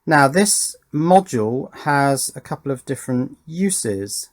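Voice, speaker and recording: A middle-aged man talks through a practical electronics demonstration. His delivery is slow and unscripted, arriving in short halting phrases as he thinks aloud. The pitch sits in an ordinary middle range. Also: lively intonation. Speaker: electronics hobbyist and presenter. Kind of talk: hands-on workbench demonstration, unscripted commentary. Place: indoor electronics workbench.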